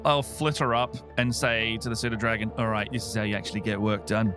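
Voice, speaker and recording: A man's voice: fairly low pitch, level tone, a little fast, music in the background. A man playing a tabletop game, bantering in a casual, folksy, sweary way.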